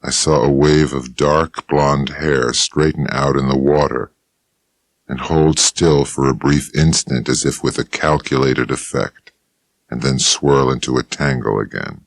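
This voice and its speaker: A man reading a first-person audiobook in a laconic, dry, unhurried manner, recorded in a studio. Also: extremely low pitch, steady tone, no echo. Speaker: audiobook narrator.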